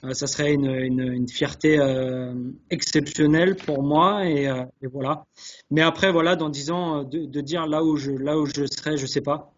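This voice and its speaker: A man's voice: a little high, steady tone, moderate pace. A man answering interview questions earnestly, hesitating and repeating words as he searches, on dull webinar audio. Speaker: guest, chef sommelier. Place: online video call.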